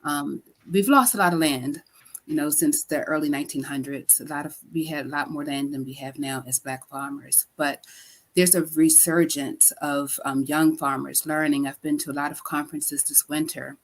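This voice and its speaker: A middle-aged woman, a food justice educator, explaining her work earnestly in a live online interview, with frequent filler pauses. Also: low voice, fairly even intonation, a little hiss or hum.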